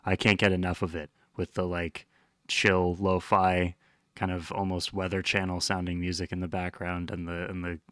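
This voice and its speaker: A man's voice: deep voice, monotone, moderate pace, a bit bright, clear, resonant tone, no echo, clean studio sound. A man giving casual reaction commentary, rambling enthusiastically in informal slang. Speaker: host reacting to a horror web series.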